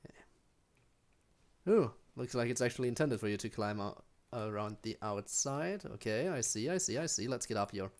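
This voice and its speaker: A man's voice: mid-range voice, expressive, normal speed. A young man with a German accent, a native German speaker, casually chatting with his chat while streaming a game, with frequent ums and restarts.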